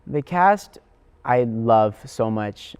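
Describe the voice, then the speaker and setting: A man's voice: medium pitch, lively intonation, very slow, a little mellow, smooth. A teenage male student actor talking casually in an interview. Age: teens, high school senior.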